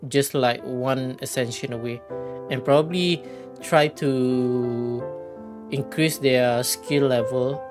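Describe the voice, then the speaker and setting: A man's voice: mid-range voice, steady tone, slow pace. A man doing casual livestream commentary at a watch party, rambling with hesitant phrasing. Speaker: streamer reacting at a watch party. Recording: background music with guitar.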